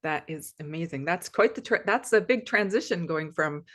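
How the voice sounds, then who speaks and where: A woman hosting a wellness interview over a video call. Her voice moves with lively pitch, and she laughs easily while welcoming her guest. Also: moderate pace. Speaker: host.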